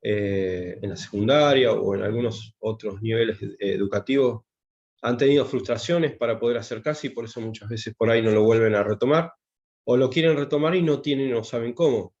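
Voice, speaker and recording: A man's voice: mid-range voice, a little fast, darkish tone, lo-fi sound. A man, a taekwondo instructor giving an online class, hesitant and full of fillers and restarts. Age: 50s. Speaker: taekwondo instructor teaching English.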